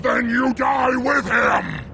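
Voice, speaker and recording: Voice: high voice, flat delivery, takes it slowly, creaky, very noisy, heavily acted. An actor voicing a bloodthirsty, furious werewolf beast in a fantasy video game, growling and roaring. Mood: murderous fury.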